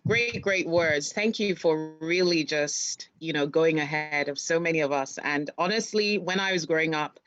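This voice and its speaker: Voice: mid-range voice, moderate pace, few pauses, fairly bright tone, muffled recording. A panel host speaking in a chatty, teasing way in an online talk. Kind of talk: casual panel hosting.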